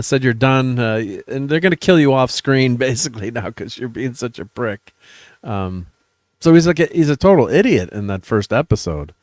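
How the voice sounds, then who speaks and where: A man's voice: medium pitch, dry recording, studio quality. A middle-aged man hosting a casual chat show, rambling with many fillers and restarts. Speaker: host.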